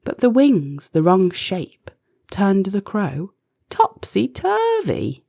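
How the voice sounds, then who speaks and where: A woman narrating a rhyming children's storybook expressively, with deliberate, dramatic phrasing. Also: medium pitch, huge pitch swings, vocal fry, dark tone, dry recording, studio quality.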